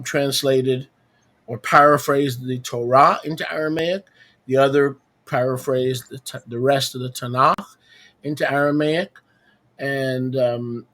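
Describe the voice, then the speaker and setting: Man teaching scripture, mid-pitched voice. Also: a bit bright. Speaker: host and religious teacher. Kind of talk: informal religious teaching on scripture. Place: online video call with screen sharing.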